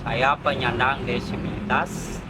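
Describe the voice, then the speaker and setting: A man's voice: mid-range voice, level tone, measured pace. Man in his forties, noisy recording. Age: 40s. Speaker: interview participant. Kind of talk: self-introduction in an interview.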